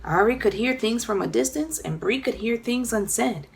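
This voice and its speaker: A woman narrating a children's story aloud in an expressive, dramatic storytelling manner. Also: fairly high pitch, moderate pace, creaky, a little hiss or hum. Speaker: children's author reading own book.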